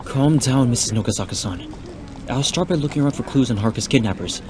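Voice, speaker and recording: A man playing a calm, polite young hero in an amateur audio drama, talking casually. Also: medium pitch, fast, background noise, close to an everyday voice. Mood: reassuring. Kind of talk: fan audio drama acting.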